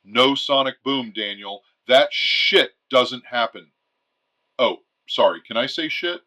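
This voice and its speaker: A man playing a blunt, uneasy retired fighter pilot calling a radio show in an audio drama, speaking emphatically and deliberately. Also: mid-range voice, pushed and bright. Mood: incredulous.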